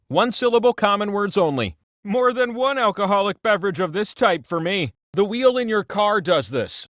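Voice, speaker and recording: A man's voice: high-pitched, a bit bright, dry recording, clean studio sound, exaggerated voice. A man voicing a vain, playful talking wheel that hosts a comic trivia game with theatrical, mock-grand delivery and wry asides. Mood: wry, musing. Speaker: game show host. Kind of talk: scripted quiz hosting with comic asides.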